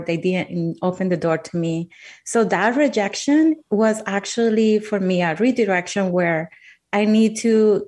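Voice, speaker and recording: Woman, Colombian Spanish accent, English as second language, earnest and emphatic, personal storytelling, medium pitch. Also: a little mellow. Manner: earnest, emphatic, repeats words while thinking. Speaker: guest.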